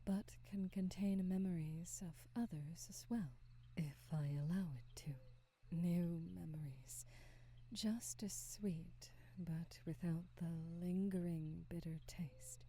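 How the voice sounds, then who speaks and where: Wistful, gentle witch for ASMR roleplay, female performer: lowish, very soft and dark, breathy whispering, motherly, old-fashioned wording. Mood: warm, touched. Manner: slow, tender, old-fashioned formal phrasing.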